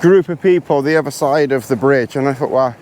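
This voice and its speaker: A hoarse, congested man chatting casually to camera while hiking outdoors in the hills, rambling and poking fun at himself. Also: mid-range voice, a little fast, a little mellow, a little hiss or hum. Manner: rambling, self-deprecating, chatty. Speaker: vlogger.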